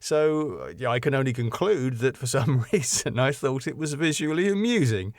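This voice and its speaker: A man's voice: medium pitch, expressive, normal speed, no room sound, clean studio sound. A middle-aged man with a British accent, narrating and chatting as a jaunty, self-deprecating podcast host. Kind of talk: scripted story narration and chatty podcast outro.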